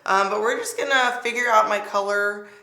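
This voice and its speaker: A young woman with a raspy voice, chatting excitedly as she reviews a makeup product. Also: medium pitch, moderate pace, reverberant. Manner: chatty, excited, filler-heavy. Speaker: beauty vlogger.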